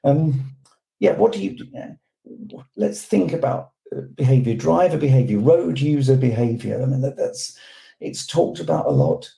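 Man, hoarse, croaky, gravelly, mellow tone, rambling podcast talk. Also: medium pitch, expressive, a little slow, poor audio. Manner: rambling, restarts, rhetorical questions. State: hoarse from a cold. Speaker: road safety campaigner guest. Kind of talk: podcast discussion.

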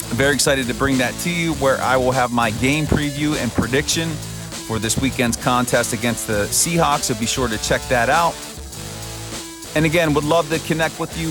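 A man hosting a solo sports podcast, talking through upcoming games in an upbeat, chatty way, his voice temporarily husky. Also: mid-range voice. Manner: upbeat, chatty analysis with a cheerful sign-off. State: voice temporarily husky and lower than his norm. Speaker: podcast host.

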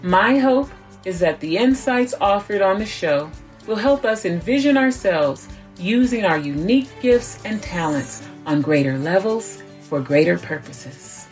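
A woman's voice: fairly high pitch, a bit bright. A woman hosting a show, speaking in an encouraging, enthusiastic way as she introduces and interviews. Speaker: show host. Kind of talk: scripted show intro and upbeat interview chat.

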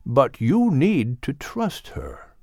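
A man voicing a wise, gentle older male animal mentor in an audiobook, speaking in a measured, firm but kindly way. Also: mid-range voice, very animated, vocal fry, no room sound, studio quality, heavily acted. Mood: firm, instructive. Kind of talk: audiobook character voice.

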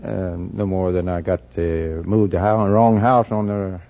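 A man's voice: on the low side, fairly even intonation, normal speed, vocal fry. An older man answering as a quiz contestant, folksy, plain-spoken and hesitant, rambling a little. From Texas, United States. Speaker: quiz contestant, house mover. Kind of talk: folksy unscripted interview answers. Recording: noisy, narrow old radio, audience behind.

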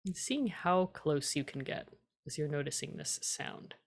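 A woman guides a meditation in a fairly low voice with plenty of vocal fry. She gives gentle, simple instructions in a calm and unhurried manner, leaving space between phrases. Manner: calm, unhurried, leaves long pauses. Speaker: guided tea meditation teacher.

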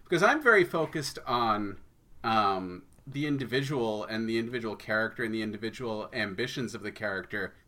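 A man's voice: fairly low pitch, animated, a little fast, bright, forceful tone. A man giving considered, explanatory answers as a guest in a casual interview. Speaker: podcast guest, audio drama co-creator.

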